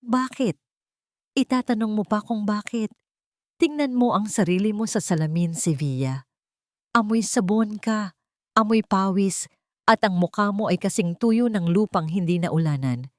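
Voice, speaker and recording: Audio drama villain: contemptuous, belittling elderly mother-in-law with a lifted, threatening pitch, played by a female narrator. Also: animated, unhurried, no echo, clean studio sound, close to an everyday voice. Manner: scolding, belittling, menacing.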